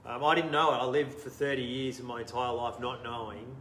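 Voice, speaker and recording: Australian man in his thirties, interview guest, lively storyteller, laughs a lot, roomy sound, faint background hum. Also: mid-range voice, brisk. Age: 30s. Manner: animated, laughs often, trails off quietly. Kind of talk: casual storytelling conversation.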